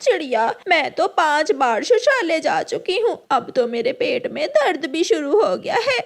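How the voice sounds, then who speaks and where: A woman voicing a dramatic, scolding crow mother in a cartoon, shrill and exclaiming. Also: very animated, a little slow, heavily acted. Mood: pained.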